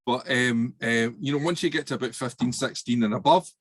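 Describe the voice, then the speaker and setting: A man's voice: mid-range voice, a little fast, breathy edge, dry recording. A man speaking in a casual video-call discussion about volunteering, chatty and full of fillers.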